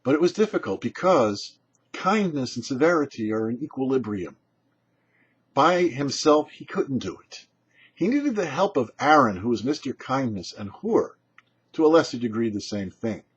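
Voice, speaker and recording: Rabbi guy explaining scripture, raspy husky voice, really animated up and down, kinda muffled audio. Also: mid-range voice, moderate pace. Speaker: rabbi and show host. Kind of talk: short religious teaching, scripted delivery.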